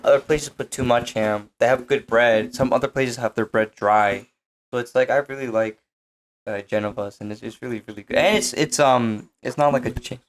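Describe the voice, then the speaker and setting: A man's voice: mid-range voice, moderate pace. A young man chatting casually as a podcast co-host, often repeating himself and talking over others. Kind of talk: casual chat among friends.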